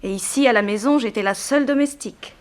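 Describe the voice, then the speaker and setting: A woman's voice: fairly high pitch, fast, natural voice. An actress playing a sorrowful young woman in a 1960s French radio drama, restrained and plaintive. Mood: bitter, sorrowful. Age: young adult. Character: sorrowful young daughter of a harsh plantation owner.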